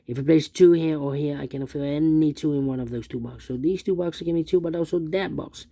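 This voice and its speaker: Guy doing a puzzle tutorial, really dark gravelly voice, kinda husky, methodical and repetitive, thinking out loud. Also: a little high, a little fast, no echo, clean studio sound. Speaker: puzzle instructor. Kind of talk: unscripted step-by-step sudoku tutorial, thinking aloud.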